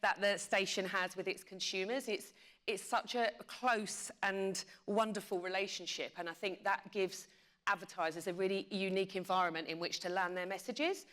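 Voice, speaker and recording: A woman answers on a discussion panel. Her voice is bright and crisp-edged at a middling pitch, and she explains her points in a measured, conversational way. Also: fairly even intonation. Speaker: media company panelist.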